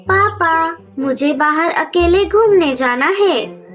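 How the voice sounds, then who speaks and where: A woman's voice: extremely high pitch, expressive, slow, fairly bright tone, faint music behind, heavily acted. A woman voicing a spoiled, headstrong young bird princess in a children's cartoon, whiny and petulant. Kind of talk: Hindi children's cartoon dialogue.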